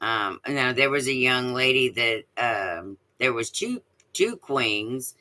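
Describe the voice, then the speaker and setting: A woman with a deep voice chatting casually on a live stream, rambling with many fillers and restarts. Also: expressive, takes it slowly, sharp tone. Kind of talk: casual chat.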